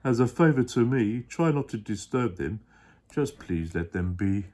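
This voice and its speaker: A man voicing a gentle, kind-hearted male elephant, reading a storybook aloud in an earnest, pleading way. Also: lowish voice, lively intonation, a little slow. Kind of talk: reading a picture book aloud.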